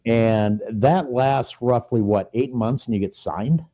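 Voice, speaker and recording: Radio host guy, mellow warm tone, quick jokey questions. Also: medium pitch. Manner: brief joking interjections. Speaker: radio interviewer. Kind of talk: casual interview chat.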